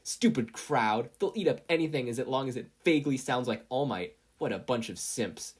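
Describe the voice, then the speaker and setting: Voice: mid-range voice, lively intonation, moderate pace, slightly rough. A narrator voicing a brash, irritable teenage boy with a blustering, snappish delivery. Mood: irritated.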